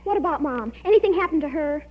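Precocious teenage girl, high voice, earnest and indignant, vintage radio comedy, muffled recording. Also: expressive, fast talker. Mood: scornful, pleading. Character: precocious, dramatic teenage girl, scornful of her ordinary family. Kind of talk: 1940s radio comedy acting. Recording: muffled old radio broadcast.

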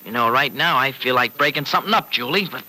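Folksy, sharp-edged radio-serial uncle. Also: male voice, mid-range voice, expressive, fast. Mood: gently patient. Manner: folksy, hesitant, colloquial. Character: Uncle Freddy, gruff-hearted fretful family man, protective and sardonic. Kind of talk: old-time radio serial acting. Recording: noisy vintage radio recording.

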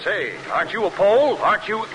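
An actor in an old-time radio drama playing a suspicious, officious truck driver, curt and challenging, on a vintage recording. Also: male voice, high voice, sing-song, brisk, background noise.

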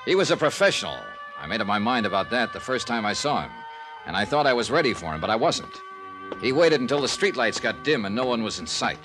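An actor playing a tough, world-weary American insurance investigator in a 1950s radio drama, clipped, hardboiled and deadpan. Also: male voice, mid-range voice, fast talker, close to an everyday voice, low-quality recording. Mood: brisk, matter-of-fact. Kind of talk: 1950s radio detective acting and first-person narration. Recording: vintage radio recording with music.

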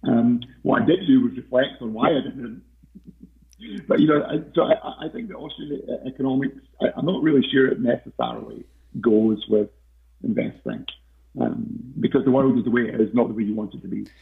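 Guy on a podcast, croaky gravelly voice, lots of ums and restarts, sounds like a phone call. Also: mid-range voice, lively intonation, fairly quick. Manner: hesitant, many fillers and restarts. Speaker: podcast guest, investment researcher. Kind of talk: casual interview talk. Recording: tinny phone-like line.

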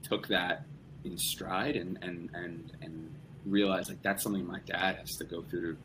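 A man's voice: low-pitched, steady tone, measured pace, noisy background. A young man as a podcast guest, talking casually in rambling sentences with many restarts. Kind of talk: casual conversation.

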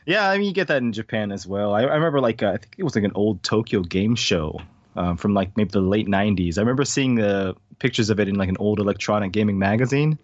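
A man's voice: on the low side, quick pace, no room sound, dull audio. An American man from Hawaii chatting casually as an interview guest, rambling, slangy and joking. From Hawaii, United States. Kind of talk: casual chat.

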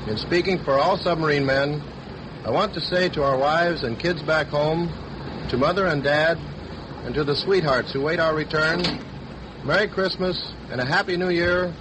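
A man's voice: on the high side, normal speed. A man, a serviceman, delivering a formal prepared greeting on 1940s radio. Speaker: serviceman sending holiday greetings. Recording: noisy vintage 1940s radio recording.

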